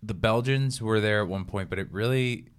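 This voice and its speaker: A man's voice: on the low side, normal speed, clear, resonant tone. A young man co-hosting a podcast, chatting casually in a rambling, jokey way with frequent restarts. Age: young adult. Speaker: podcast co-host. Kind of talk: casual chat.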